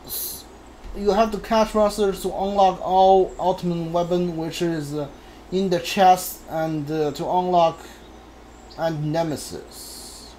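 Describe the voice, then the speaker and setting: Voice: medium pitch, steady tone, slow, warm tone, a little hiss or hum, rough recording. A video game streamer giving casual, hesitant commentary while thinking aloud.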